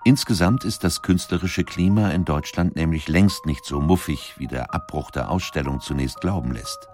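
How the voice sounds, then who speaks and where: Very low-voiced male documentary narrator. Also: a little mellow, faint music behind. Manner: measured, articulate storytelling. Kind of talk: scripted narration.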